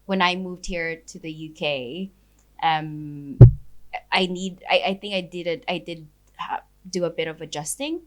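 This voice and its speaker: Young Filipina woman, native Ilocano speaker, low-pitched and bright; casual filler-heavy guest chat. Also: fairly even intonation, measured pace. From Philippines. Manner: chatty, lots of fillers and laughter, Taglish asides. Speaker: ice cream business founder, guest.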